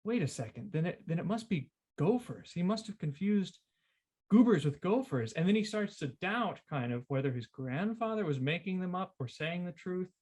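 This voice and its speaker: A man's voice: high voice, very animated, moderate pace, a little mellow. A man hosting a casual literary discussion, repeating phrases for emphasis as he talks. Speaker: podcast host.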